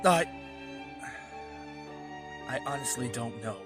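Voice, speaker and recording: Wandering ex-warrior played by a man, mid-pitched, wry, teasing, carrying regret; fantasy audio drama with music underneath. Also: slow pace, lightly acted. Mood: regretful, lost. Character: weary drifting male ex-soldier, teasing, regretful brother.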